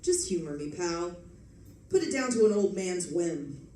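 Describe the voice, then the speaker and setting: A woman's voice: lowish voice, very animated, a little slow, dark tone. A woman playing a wry, suspicious old male police sergeant in an old-time radio detective drama, sardonic and slangy. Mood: sardonic, probing. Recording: echoey, distant stage recording.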